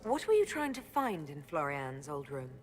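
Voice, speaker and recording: 抑揚豊かで毅然とした女主人公. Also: female voice, low-pitched, sing-song, normal speed, soft background music. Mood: concerned, firm. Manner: composed, authoritative. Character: composed, commanding heroine, leader of an inquisition. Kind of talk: fantasy video game voice acting.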